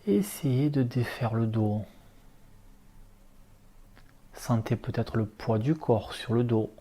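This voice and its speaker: A man leading a guided relaxation meditation as an instructor, speaking calmly in short, unhurried instructions. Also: medium pitch, slightly rough. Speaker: yoga nidra relaxation instructor.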